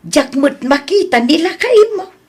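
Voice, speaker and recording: A woman playing an elderly, plain-spoken and curious mother in an audio drama, with a husky voice and slow, questioning delivery. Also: extremely high pitch, big pitch swings, full character voice. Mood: pleased, curious.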